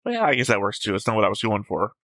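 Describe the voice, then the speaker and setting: Bright-voiced man reviewing his notes. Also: lowish voice, big pitch swings, rapid-fire, dry recording, studio quality. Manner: analytical, jokey, acts out quotes. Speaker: reaction show co-host. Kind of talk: casual discussion reading notes.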